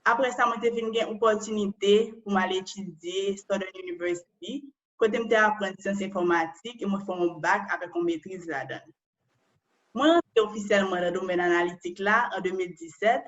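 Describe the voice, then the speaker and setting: A woman's voice: medium pitch, brisk. Haitian lady walking through a talk online, asks "right?" a lot, muffled lo-fi call sound. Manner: explanatory, ends phrases with a questioning tag. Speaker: data analyst presenting. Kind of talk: online presentation.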